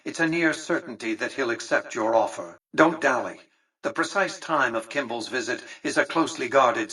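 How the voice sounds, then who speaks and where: A calculating older tycoon from a video game, a man used to command. His voice is bright and forceful with a husky grain. He speaks formally and precisely, looking down on whoever he addresses, and sounds set somewhat back from the microphone. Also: medium pitch, distant mic, poor audio. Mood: curt, commanding. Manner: condescending, precise, formal, exacting. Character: imperious, calculating older male tycoon commanding a robot army. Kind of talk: scripted video game character dialogue.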